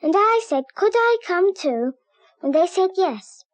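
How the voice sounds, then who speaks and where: A woman's voice: very high-pitched, very animated, a little slow, dry recording, full character voice. A woman voicing an earnest young child who narrates a scripted safety cartoon. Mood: earnest, simple.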